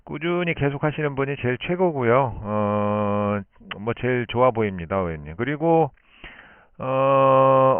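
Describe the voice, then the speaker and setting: Male ham operator, polite explainer, smooth clear voice through a thin, phone-like shortwave signal. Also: mid-range voice, no room sound. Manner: explanatory, polite formal speech. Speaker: amateur radio operator. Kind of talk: explanatory radio contact talk. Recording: muffled narrowband shortwave radio transmission. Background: shortwave radio link.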